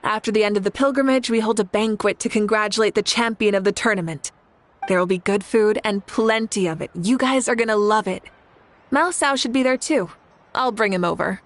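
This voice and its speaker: An actress playing a kindly, composed young warrior leader in a video game, measured and reassuring. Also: female voice, mid-range voice, natural voice. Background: game music.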